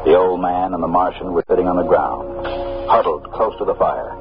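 A man's voice: sits low, moderate pace, background noise. A man acting as a reflective narrator recalling his boyhood in scripted 1950s radio drama narration. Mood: reflective, atmospheric. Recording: dull old radio recording, loud music behind.

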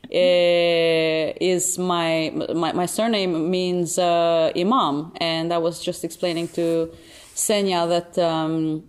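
A woman's voice: fairly low pitch, flat delivery, slow. A woman whose first language is Albanian, a theatre maker being interviewed, talking in a friendly way with frequent laughs and fillers. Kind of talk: casual interview chat.

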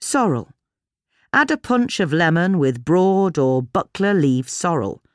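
A woman's voice: on the low side, big pitch swings, slow, dry recording, clean studio sound. A woman with a British accent reading a scripted article as a narrator.

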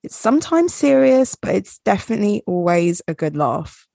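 A woman's voice: mid-range voice, very animated, normal speed, vocal fry, mellow, no room sound, clean studio sound. A young woman co-hosting a podcast in casual chat, rambling with lots of fillers. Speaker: podcast co-host.

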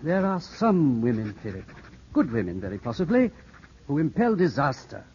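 A husky male voice plays a formal, avuncular older friend of the family, cautious by temper. The intonation is very lively, in 1950s radio-drama style, and the recording is dull. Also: mid-range voice, moderate pace. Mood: grave, disapproving.

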